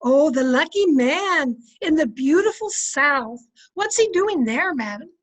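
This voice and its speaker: An actress playing a wry, chatty housewife who quips and persuades in old-time radio comedy style. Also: female voice, sits high, animated, unhurried. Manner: quipping, persuasive. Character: wry, chatty housewife, wife of census taker.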